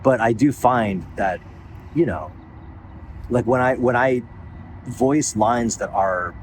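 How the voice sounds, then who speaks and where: A middle-aged man with a low-sounding voice chatting casually, an animated storyteller who laughs often and swears freely. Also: takes it slowly. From United States. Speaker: voice actor and host. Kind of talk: casual chat. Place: outdoors in a park. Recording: noisy outdoor recording with traffic.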